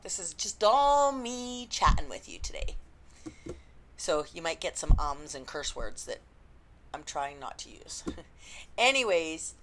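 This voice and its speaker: A woman, an indie romance author, chatting unscripted to camera with a slightly husky voice and lots of ums. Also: low-pitched. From Canada. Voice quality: slightly husky after illness. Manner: chatty, rambling, frequent ums. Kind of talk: unscripted vlog chat.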